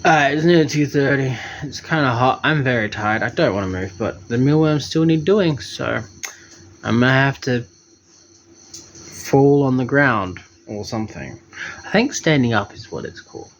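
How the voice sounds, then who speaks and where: A man's voice: mid-range voice, lively intonation, normal speed, lo-fi sound, slight background noise. A man vlogging his day with chatty, self-mocking narration into a handheld phone camera. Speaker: homesteading vlogger. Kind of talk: casual self-filmed vlog narration.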